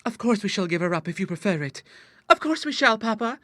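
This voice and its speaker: A man's voice: high voice, sing-song, fast talker, sharp tone, dry recording, studio quality, voice pushed up, full character voice. A man voicing a young Victorian daughter in an audiobook, girlish, affectionate and sweetly wheedling. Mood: pleading. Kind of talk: dramatized audiobook dialogue.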